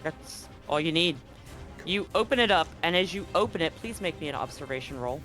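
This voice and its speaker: Guy game mastering a tabletop rpg, highish voice, dramatic narration then chatty rulings, faint music under it. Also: lively intonation, fairly quick, bright, forceful tone. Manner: dramatic scene-setting mixed with casual rulings. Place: live online stream.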